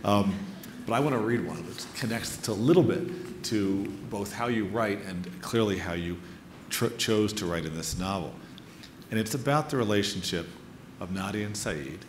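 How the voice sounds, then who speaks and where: A man with a lowish voice hosts a literary conversation in an echoey, noisy venue. He speaks with emphatic admiration, repeating key words for effect. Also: lively intonation, normal speed. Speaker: on-stage interviewer. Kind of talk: literary conversation with quoted passages. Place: live stage event before an audience. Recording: echoey hall with clear background noise.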